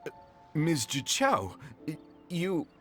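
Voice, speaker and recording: A man's voice: on the high side, huge pitch swings, slow, over music, exaggerated voice. A man playing an anxious, defensive merchant in a video game, with a stammering, fretful and theatrical delivery. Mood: shocked.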